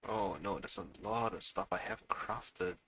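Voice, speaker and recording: A man's voice: on the low side, moderate pace, sharp tone, breathy edge. A man live-streaming a video game with casual, unscripted commentary, muttering to himself and swearing freely.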